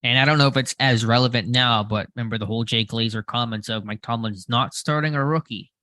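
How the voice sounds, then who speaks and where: A man co-hosting a casual sports podcast, tossing quick questions and agreements back and forth. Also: medium pitch, sharp tone, no room sound, studio quality. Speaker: podcast co-host.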